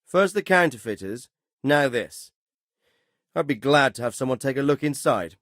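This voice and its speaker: An actor playing a dignified, middle-aged medieval lord in a video game, fatherly but shrewd, with a measured, authoritative and well-spoken delivery. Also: mid-range voice, lively intonation, fairly bright tone, dry recording, clean studio sound, lightly acted. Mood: serious, fatherly. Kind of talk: scripted game dialogue.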